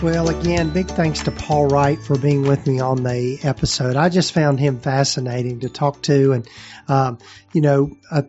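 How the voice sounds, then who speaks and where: A man with a Southern US accent hosting a podcast and recapping the episode in a relaxed way, with many fillers and restarts. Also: medium pitch, normal speed, lo-fi sound, music in the background. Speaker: podcast host.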